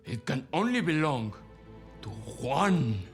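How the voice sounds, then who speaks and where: Telenovela announcer, performed by a man: drawn-out, hushed, full of melodrama, swooping pitch. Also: sing-song, faint music behind. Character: melodramatic telenovela announcer intoning a show title.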